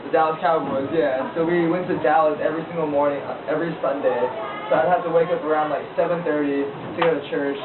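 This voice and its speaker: A man's voice: fairly even intonation, fast. A teenage boy casually sharing his faith testimony with a group of listeners, rambling with lots of filler words and asking them questions. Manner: rambling with frequent likes, asks the listeners questions. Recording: echoey, distant, tinny low-fi recording with a noisy crowd.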